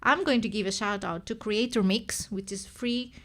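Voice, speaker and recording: A woman's voice: mid-range voice, fairly even intonation, normal speed, fairly bright tone. A woman with a Greek accent, a native Greek speaker, hosting a casual live stream and thinking aloud with many restarts and self-corrections. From Greece.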